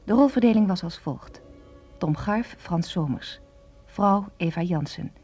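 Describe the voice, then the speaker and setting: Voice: mid-range voice, expressive, measured pace, a little mellow, soft background music. A radio announcer reading scripted closing credits for a serial drama.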